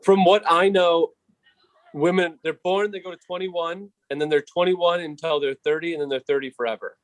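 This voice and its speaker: A man's voice: a little high, fairly even intonation, moderate pace, dry recording, low-quality recording. A man in his thirties co-hosting a podcast, joking in an easygoing way. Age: 30s. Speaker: podcast co-host. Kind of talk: casual chat.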